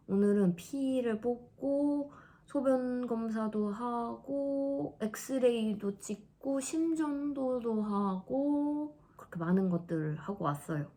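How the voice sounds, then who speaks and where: A woman in her thirties chatting casually in a vlog, laughing often and dropping into soft asides. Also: mid-range voice. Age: 30s. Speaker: vlogger.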